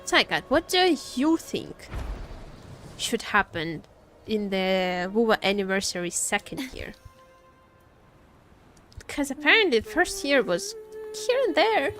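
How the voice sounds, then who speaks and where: A woman's voice: on the high side, big pitch swings, a little slow, fairly bright tone, faint music behind. A woman live-streaming a game, chatting casually and expressively, often repeating her phrases. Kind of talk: casual livestream chat while gaming.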